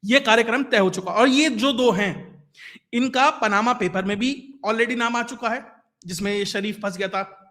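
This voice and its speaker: High-pitched man, bright emphatic delivery. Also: moderate pace. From India. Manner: colloquial, emphatic, rhetorical asides to the viewer. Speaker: YouTube news commentator. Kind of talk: informal political commentary, explaining to viewers.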